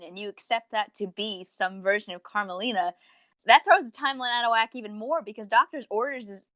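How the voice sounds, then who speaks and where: Bright, talkative woman in casual fan chat. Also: fairly high pitch, no echo, dull audio. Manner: rambling, talkative, slangy, acts out imagined remarks. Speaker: podcast co-host reviewing audio dramas.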